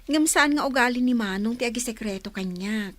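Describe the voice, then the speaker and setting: A woman's voice: a little high, a little fast, close to an everyday voice. A woman with an Ilocano accent acting a teasing younger sister in a radio drama. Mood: earnest, teasing. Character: younger sister confiding teasingly in her older brother.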